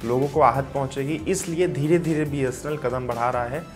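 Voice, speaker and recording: A man's voice: medium pitch, steady tone, a little fast, faint music behind. Upbeat young man presenting tech news. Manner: upbeat direct-to-camera presenting. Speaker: tech channel host. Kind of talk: scripted tech news explainer. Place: indoor home studio with lit gaming setup. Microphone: lapel mic.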